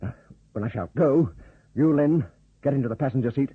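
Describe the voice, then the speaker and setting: A man playing a tough, brusque pilot in a radio drama, giving urgent clipped orders in a husky voice. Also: medium pitch, brisk, no room sound. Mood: commanding, urgent. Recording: dull old radio recording.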